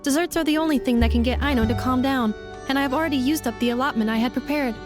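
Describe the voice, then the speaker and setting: A woman's voice: sits high, quick pace, music in the background, lightly acted. A woman voicing a polite, analytical female robot in a video game, speaking formally and precisely. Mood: practical. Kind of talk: video game cutscene acting.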